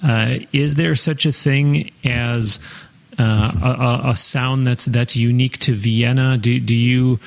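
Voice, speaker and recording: A male host with a gravelly, creaky edge to a mid-pitched voice. He winds his way through long questions, restarting often, and chimes in with quick reactions while his guest speaks. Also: fairly even intonation. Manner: rambling questions, frequent restarts, interjects "wow". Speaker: podcast host. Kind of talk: casual interview chat.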